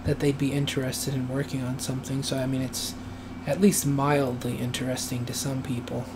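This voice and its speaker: Man musing aloud, voice raspy and dry. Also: medium pitch, little pitch movement. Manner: musing, hesitant, thinks aloud with many pauses. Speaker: animator live-streaming his work. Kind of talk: rambling casual live-stream talk while working. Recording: noisy room hum behind voice.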